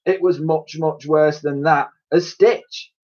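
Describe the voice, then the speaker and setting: A man's voice: fairly high pitch, steady tone, slow, a little mellow, pitched above the performer's own voice. A man voicing a plucky, lovestruck old soldier in a storybook, earnest and melodramatic, on a dull recording. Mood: earnest, plaintive.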